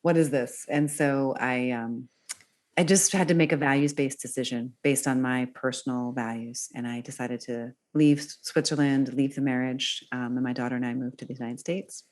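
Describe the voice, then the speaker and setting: Low-voiced chatty woman. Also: fairly even intonation, moderate pace, darkish tone. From Northern Virginia, US. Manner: chatty, enthusiastic, laughs easily. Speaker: podcast guest. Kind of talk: casual conversation.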